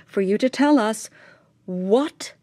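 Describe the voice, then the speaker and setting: A woman's voice: medium pitch, expressive, slow, darkish tone, dry recording. An actress playing a brittle, desperate, scheming mother in a radio drama, speaking in an insistent, clipped, sarcastic way. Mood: bitter, sarcastic.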